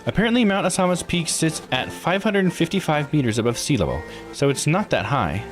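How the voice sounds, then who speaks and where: A man's voice: fairly high pitch, lively intonation, brisk, faint music behind, pitched above the performer's own voice, lightly acted. A man voicing an eager, proud guide character while reading a visual novel aloud. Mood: bright, informative.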